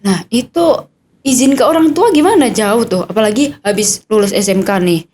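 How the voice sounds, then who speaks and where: A woman's voice: a little high, expressive, normal speed, a little mellow, slight background noise. A young adult woman, a cadet hosting a casual podcast interview, chatty and curious, with eager follow-up questions.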